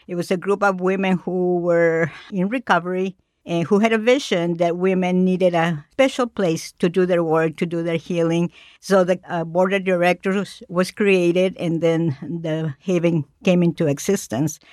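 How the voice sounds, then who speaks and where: A middle-aged woman from Mexico speaking as a cultural educator in a radio interview, measured with frequent pauses. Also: on the low side, steady tone, a little mellow, no room sound, studio quality.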